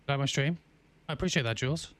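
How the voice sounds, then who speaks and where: A man hosts a live game stream, chatting loosely with viewers as he plays. His voice is clean and smooth at an ordinary middle pitch. He thinks aloud, breaking off with ums and trying his sentences again. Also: fast. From England. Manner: chatty, reads out and answers viewer chat with many ums and restarts. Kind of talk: casual live-stream chat while playing.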